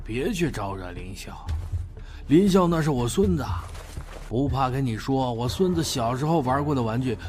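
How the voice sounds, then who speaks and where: A man's voice: mid-range voice, close to an everyday voice. An actor playing a shrewd, wealthy old grandfather in an audio drama, drawling folksy threats to protect his family. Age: older. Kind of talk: Chinese audio drama acting. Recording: music behind the voice.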